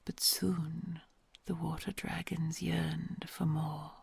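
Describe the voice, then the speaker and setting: A woman plays a huge ancient dragon queen, kind and doting, who speaks in a hushed, very breathy murmur full of gravelly fry. She draws out her words slowly and teases her human gently. The sound is dry and close, with no room around it. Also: low voice, level tone, no echo, exaggerated voice. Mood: storytelling. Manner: soft hushed storytelling, affectionate and gently teasing. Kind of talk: ASMR audio roleplay.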